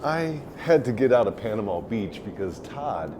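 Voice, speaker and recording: A man tells war stories as a swaggering, theatrical host. His pitch moves around a great deal as he plays up the drama, and his delivery is crude and darkly comic. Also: big pitch swings, normal speed, noisy background. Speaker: host of a military storytelling show, a first sergeant. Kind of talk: dramatic scripted storytelling with profane humour.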